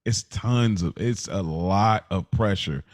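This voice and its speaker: A man's voice: fairly low pitch, slow. A man co-hosting a podcast in casual personal chat, talking colloquially and emphatically, repeating phrases as he reflects with empathy. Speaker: podcast co-host.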